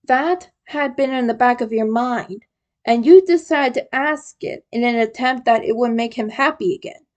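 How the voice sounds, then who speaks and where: A woman's voice: fairly high pitch, normal speed, darkish tone. A woman reading a scripted story aloud as a narrator, addressing the listener as the main character. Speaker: fan fiction reader.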